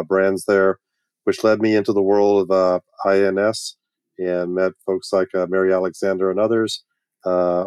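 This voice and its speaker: A man talks as an interview guest in halting, measured phrases. His voice is low and smooth, with little movement in pitch. The style is conversational. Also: darkish tone, no room sound. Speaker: guest, medical products industry veteran. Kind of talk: conversational self-introduction.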